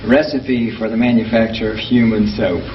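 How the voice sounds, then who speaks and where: Male documentary presenter, dry and sardonic, halting delivery, muffled audio with background noise. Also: on the low side, level tone. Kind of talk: informal commentary and reading documents aloud. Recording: echoey, noisy, distant microphone, muffled.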